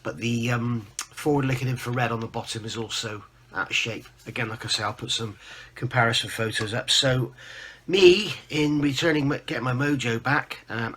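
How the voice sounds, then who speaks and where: Guy with a croaky raspy voice but kinda bright, chatty hobby vlog update, informal rambly show and tell. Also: medium pitch. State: croaky, recovering from losing his voice. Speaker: host of a scale modelling channel. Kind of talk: casual hobby update to camera.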